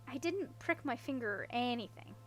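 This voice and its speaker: A woman's voice: on the high side, animated, normal speed, fairly bright tone. A woman voicing an awkward, unlucky young princess in a storybook, earnest and rambling as she explains herself. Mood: earnest storytelling. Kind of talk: audiobook character voice.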